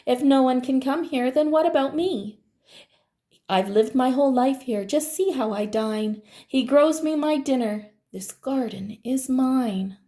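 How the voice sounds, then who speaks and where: An indignant little rabbit from a picture book, voiced by a woman. It talks in a raised pitch, pert and protesting, unhurried and entitled. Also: warm tone. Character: small sharp-squeaking male rabbit, indignant and entitled.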